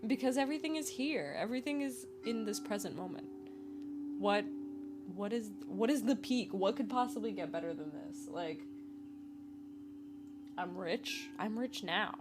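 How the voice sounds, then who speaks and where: A young woman in her twenties hosts a casual solo podcast, rambling along in a playful way and breaking into laughs. Her pitch sits in the middle, and music plays clearly behind her. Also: expressive, moderate pace, long pauses. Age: 20s. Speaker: podcast host.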